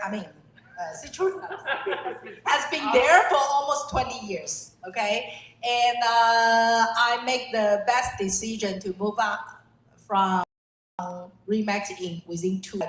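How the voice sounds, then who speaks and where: Slow, halting middle-aged woman. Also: medium pitch, a bit bright. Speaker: real estate agent and developer. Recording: echoey room, faint noise.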